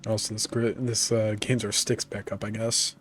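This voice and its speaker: A man streaming a video game, talking casually in a relaxed, rambling way with many fillers, his voice congested from a cold. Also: on the low side, moderate pace. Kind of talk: casual gaming commentary.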